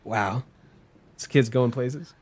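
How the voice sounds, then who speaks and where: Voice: medium pitch, slow pace, a little mellow. A streamer giving casual, joking gameplay commentary in a sarcastic, sweary manner. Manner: sarcastic, profane. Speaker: streamer playing a story game.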